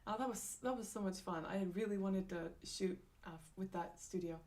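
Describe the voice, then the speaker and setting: A woman's voice: medium pitch, moderate pace, darkish tone. A young woman chatting casually on a live stream, reading out comments with lots of fillers, restarts and laughs. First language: not Japanese.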